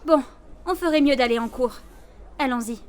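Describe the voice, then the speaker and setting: A woman's voice: high-pitched, moderate pace, a little hiss or hum, lightly acted. A woman voicing a bossy, impatient teenage schoolgirl with a scolding manner in a French anime fan dub. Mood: bossy, annoyed. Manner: scolding, demanding.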